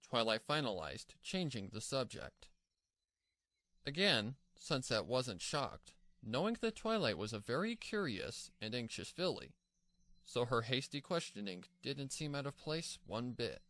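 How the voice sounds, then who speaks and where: A man's voice: mid-range voice, sing-song, unhurried, fairly bright tone, no echo. A man narrating a scripted story as its narrator.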